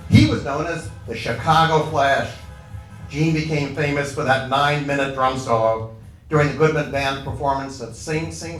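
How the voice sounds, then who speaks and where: A man's voice: fairly high pitch, a bit bright. An American man playing a brash, proud old Chicago union boss in a stage monologue, punchy and colloquial. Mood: enthusiastic. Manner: colloquial, punchy, self-justifying storytelling. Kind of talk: first-person dramatic monologue on stage. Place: echoey event hall before an audience. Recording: echoey hall, distant mic, music.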